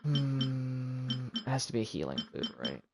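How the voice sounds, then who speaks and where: A man's voice: medium pitch, sing-song, unhurried. A man giving casual gameplay commentary on a livestream, muttering asides to the game and its characters as he plays. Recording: loud game music behind voice. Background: loud video game music.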